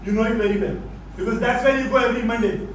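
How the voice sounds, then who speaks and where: A man's voice: very high-pitched, quick pace. A man with an Indian accent playing a stern, controlling old father-in-law in a stage play, authoritative and disapproving. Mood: accusing. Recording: very echoey, distant stage recording with noise.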